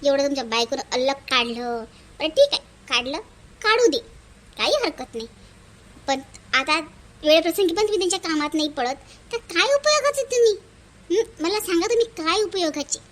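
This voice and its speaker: A woman plays a righteous, outspoken young neighbour in a cartoon family drama. The pitch is extremely high. She moralizes with emphatic reproach. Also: lively intonation, normal speed, fairly bright tone. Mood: reproachful.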